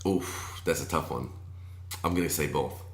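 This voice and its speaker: A man with a naturally deep voice, a wedding DJ and MC guest, talking in a laid-back, confident way. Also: moderate pace, a bit bright. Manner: laid-back, confident, says "you know" often. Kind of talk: casual chat. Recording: roomy echo.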